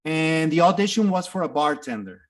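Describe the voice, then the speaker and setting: A man's voice: a little high, normal speed. A middle-aged man from Mexico with a Mexican Spanish accent, Spanish his first language, telling stories as a guest in a casual interview. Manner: animated storyteller with frequent restarts and laughs. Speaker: guest actor.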